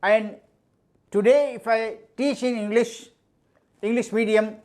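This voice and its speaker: An older man with a high voice answers interview questions about teaching mathematics. His first language is Urdu. He speaks in a measured, emphatic way and often repeats his key phrases. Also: fairly even intonation, darkish tone.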